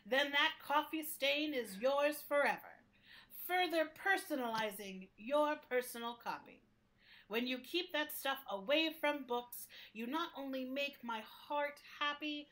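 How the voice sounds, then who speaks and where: Bright, prim, scolding library building. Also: female voice, high-pitched, a little slow. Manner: emphatic, mock-stern scolding. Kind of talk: radio play acting.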